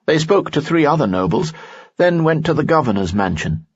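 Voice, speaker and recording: A man voicing a charming, gallant male noble who speaks in a courtly, playful way, pitched well above his own voice. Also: big pitch swings, moderate pace, slightly rough, no room sound, dull audio, full character voice. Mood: earnest warning.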